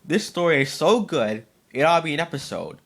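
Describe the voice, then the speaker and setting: A man's voice: fairly high pitch, big pitch swings, fairly quick. A man reading aloud as an amateur narrator, now and then stumbling over his words. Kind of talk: dramatic story reading by an amateur.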